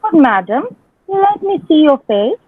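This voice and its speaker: A woman playing an ardent young gentleman messenger in a Shakespearean dramatic reading, declaiming in a drawn-out, courtly manner. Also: sits high, sing-song. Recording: thin phone-like band, dry sound.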